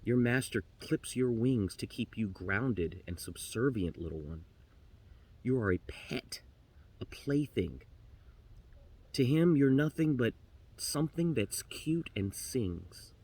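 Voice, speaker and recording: A man voicing a wise, free-spirited female raven in a storybook tale, speaking in a measured, solemn, coaxing way. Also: mid-range voice, expressive, warm tone. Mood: solemn, pitying.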